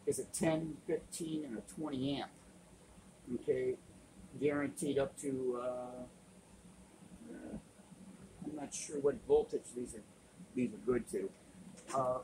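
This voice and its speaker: A man's voice: mid-range voice, noisy background. Plain-spoken man explaining boat tools. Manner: plain-spoken, practical, with frequent uh and um. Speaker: sailing channel host. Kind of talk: casual how-to explanation. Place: cluttered indoor workshop.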